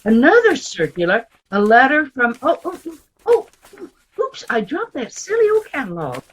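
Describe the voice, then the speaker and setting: Woman playing a coy, husky frontier flirt. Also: high voice, wildly swooping pitch, moderate pace, exaggerated voice, low-quality recording. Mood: teasing. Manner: coquettish, playful, mock-innocent. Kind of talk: old-time western radio drama acting.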